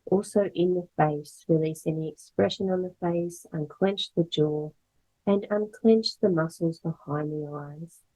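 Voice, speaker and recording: A woman leads a guided relaxation as a yoga teacher. Her voice sits on the low side and is very soft-edged, dark and mellow, with a breathy husk. She phrases gently and leaves measured pauses between short instructions. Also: poor audio. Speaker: yoga instructor guiding a meditation. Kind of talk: guided meditation, slow soothing instruction.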